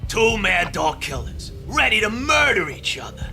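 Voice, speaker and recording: An actor playing an excitable thug in a video game, telling a tale in a dramatic, drawn-out way. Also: male voice, sits high, vocal fry, soft background music, full character voice. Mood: excited.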